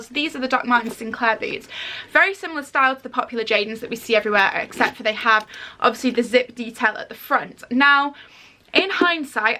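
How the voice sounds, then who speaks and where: A woman's voice: fairly high pitch, pushed and bright. A young woman in her twenties, sounding nasal from a cold, chatting casually and upbeat in a fashion vlog full of fillers. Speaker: fashion vlogger.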